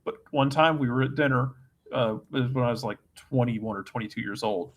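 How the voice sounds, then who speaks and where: A man's voice: mid-range voice, fairly quick. A man on a sports talk panel telling stories in a casual, wry, joking way. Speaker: soccer show panelist. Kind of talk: casual chat, anecdotal.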